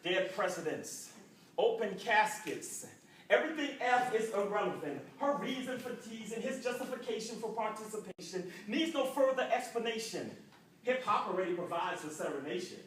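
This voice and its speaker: A man's voice: high-pitched, lively intonation, normal speed, a bit bright, slight background noise. A young man from Jamaica performing rhythmic, rhyming spoken word poetry at an arts center. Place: indoor arts center hall. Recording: echoey room from far off.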